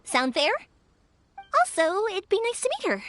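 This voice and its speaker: A woman's voice: very high voice, sing-song, moderate pace, a bit bright, soft background music, full character voice. A woman voicing a cheerful, carefree young fireworks maker in an anime game, perky and earnest with a teasing, big-sisterly way. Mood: friendly, bargaining.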